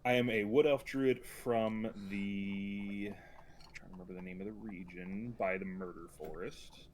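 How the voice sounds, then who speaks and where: A man's voice: on the low side, steady tone, unhurried, a little hiss or hum. A man playing in a tabletop game over a voice call, chatty and self-deprecating. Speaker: player. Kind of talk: casual tabletop game session.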